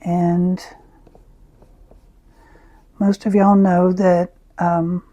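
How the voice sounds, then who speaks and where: A woman with a raspy voice, who often clears her throat as she chats through an art tutorial. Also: lowish voice, little pitch movement, dull audio. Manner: slow, soft-spoken, frequent throat clearing. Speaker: art channel host. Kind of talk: casual art tutorial chat.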